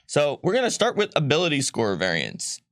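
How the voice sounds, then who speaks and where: A man's voice: on the high side, wildly swooping pitch, brisk, a bit bright, dry recording, clean studio sound. A man co-hosting a livestream, explaining game rules in a loose, rambling way with frequent ums and self-corrections. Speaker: co-host presenting game rules. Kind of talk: casual livestream chat.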